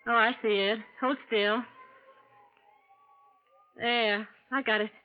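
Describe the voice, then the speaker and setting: 1940s radio melodrama: anguished, principled young woman, higher voice, earnest dramatic delivery, tinny archival sound. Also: fairly even intonation, slow pace. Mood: concerned. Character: anguished, conscientious young woman caregiver torn by love. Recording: tinny, noisy old radio recording.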